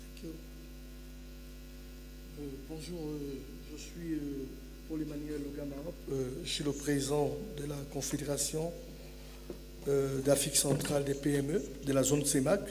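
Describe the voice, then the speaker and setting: A man with a fairly high voice gives formal remarks on a conference panel. He hesitates often and fills his pauses with "euh". The recording has long hall reverb and a faint hum. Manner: hesitant, frequent "euh" fillers. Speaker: business federation president panelist.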